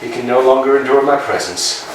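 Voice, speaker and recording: An actor in a staged play reading playing a pompous, self-important landowner who swings between blustering shouts and murmured asides. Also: male voice, mid-range voice, fairly quick, background noise, close to an everyday voice. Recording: echoey room, mic far off.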